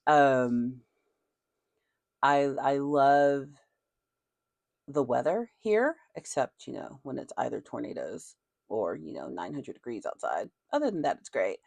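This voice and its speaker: A speaker from Nashville, Tennessee, with a softened Southern accent, chatting casually and animatedly and laughing often. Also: medium pitch, big pitch swings, measured pace, vocal fry, no room sound. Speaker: video creator. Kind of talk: casual chat.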